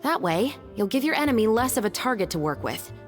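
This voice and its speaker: A woman voicing a disciplined, serious officer and weapons expert in an anime-style video game, firm, measured and instructive. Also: fairly high pitch, very animated, soft background music. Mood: instructing.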